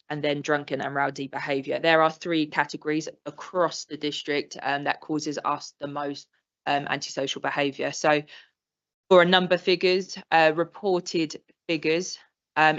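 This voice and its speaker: An English woman, a police inspector, giving an informal briefing at a council meeting, with plenty of ums. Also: deep voice. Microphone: meeting room microphone. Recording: dull lo-fi council livestream audio.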